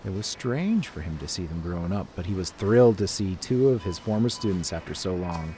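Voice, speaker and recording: Deep-voiced, animated man narrating a story. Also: brisk, a little hiss or hum, poor audio. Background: music.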